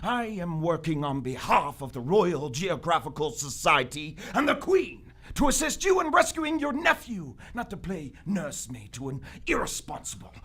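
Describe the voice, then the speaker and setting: An actor playing an indignant, pompous English gentleman in live radio theater, blustering and formal. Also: medium pitch, big pitch swings, moderate pace, few pauses, slightly rough. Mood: indignant, exasperated. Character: indignant, pompous young English gentleman, exasperated royal agent.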